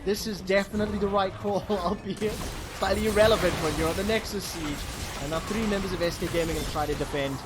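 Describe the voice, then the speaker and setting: A man calling live esports play-by-play with quick, excited bursts. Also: high-pitched. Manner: excitable, fast bursts, exclamations. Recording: commentary over loud game music.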